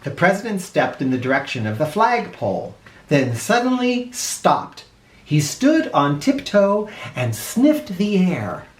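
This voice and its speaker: Animated man reading a storybook, very lively pitch. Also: unhurried. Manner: theatrical, playful. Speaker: children's storybook reader, host. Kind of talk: animated read-aloud narration with asides. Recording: roomy echo.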